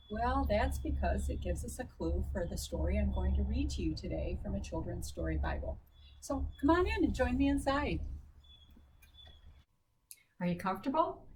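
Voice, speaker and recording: Woman, slightly low voice, children's storyteller speaking deliberately and simply to young kids. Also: a little mellow, a little hiss or hum, low-quality recording. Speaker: children's story time host.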